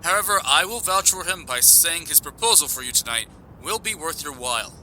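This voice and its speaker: An actor playing a genial, courteous government doctor in an audio drama, formal and careful, heard over a speakerphone. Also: male voice, fairly high pitch, animated, a little fast, bright, forceful tone, a little hiss or hum, low-quality recording.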